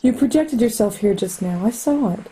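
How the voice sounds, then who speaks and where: An actress in a radio play as an anxious, grieving lover, soft and husky, hesitant and sorrowful. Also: female voice, a little high, expressive, moderate pace, rough recording. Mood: grieving, firm. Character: anxious, grieving woman in love with a programmer.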